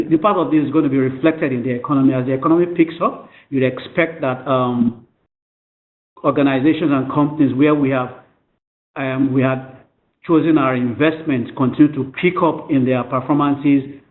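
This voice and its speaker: Man on a tinny phone line. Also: medium pitch. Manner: halting, repetitive, formal. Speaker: company investment executive. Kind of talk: conference call presentation. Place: telephone conference call.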